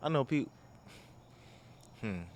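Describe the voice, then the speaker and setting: A man's voice: medium pitch, animated, slow, slight background noise. A young man in casual conversation, speaking earnestly and informally with strong emphasis. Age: young adult. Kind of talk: casual chat.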